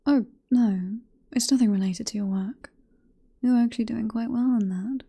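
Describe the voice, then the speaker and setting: A British woman voicing a possessive, teasing vampire professor in an ASMR roleplay, in a soft, breathy whisper with a coaxing, unhurried manner. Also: a little high. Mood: teasing, reassuring. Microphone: close ASMR microphone.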